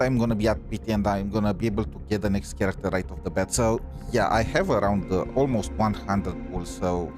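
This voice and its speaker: A man streaming a game in casual chat, thinking aloud in a rambling way and repeating himself. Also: on the low side. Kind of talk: casual livestream chat while playing. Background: music behind the voice.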